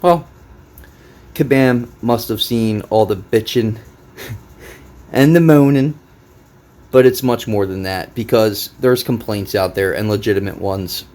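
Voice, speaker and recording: A man's voice: lowish voice, takes it slowly, long pauses, darkish tone. Blunt, sweary man hosting gaming commentary. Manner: blunt, heavily sweary, exasperated. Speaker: gaming video host. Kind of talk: casual read-through with ranting commentary.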